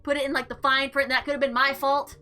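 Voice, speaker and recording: High, bright-voiced woman gamer, very animated. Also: quick pace. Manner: animated, exclaiming, slangy commentary. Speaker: gaming video host. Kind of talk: visual novel playthrough, reading text aloud with excited reactions. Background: music.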